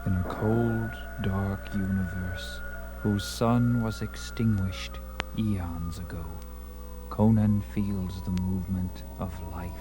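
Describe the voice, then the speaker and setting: A man's voice: low-pitched, fairly even intonation, slow pace, poor audio. A man giving dramatic, scripted fantasy narration in a theatrical, florid storytelling manner. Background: loud dramatic music.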